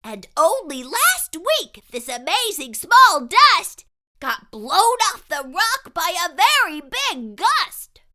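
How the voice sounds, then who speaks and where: A woman voicing an excitable young boy who spins a tall tale in dramatic, sing-song rhyme for a storybook read-aloud. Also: very high voice, takes it slowly, pitched above the performer's own voice, exaggerated voice. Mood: excited, insistent.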